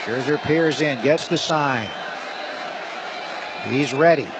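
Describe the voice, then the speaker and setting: A man's voice: on the high side, slow, a crowd in the background. A man doing live play-by-play baseball commentary on the radio in clipped, descriptive phrases. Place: ballpark broadcast booth. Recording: noisy live stadium broadcast. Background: clear stadium ambience.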